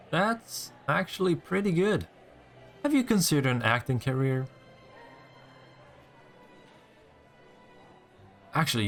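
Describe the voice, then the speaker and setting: A man voicing a cocky, flirtatious vampire in a scripted roleplay audio drama, full of sardonic, teasing banter. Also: medium pitch. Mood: wry, conspiratorial.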